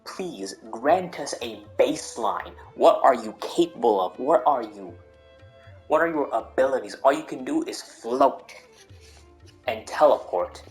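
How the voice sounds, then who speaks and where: A man's voice: mid-range voice, lively intonation, a little slow, a little mellow. Slangy male gamer, music behind. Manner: slangy, teasing, repeats phrases for emphasis. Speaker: gaming streamer. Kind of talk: casual playthrough commentary reacting to game dialogue. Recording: commentary mixed with game music.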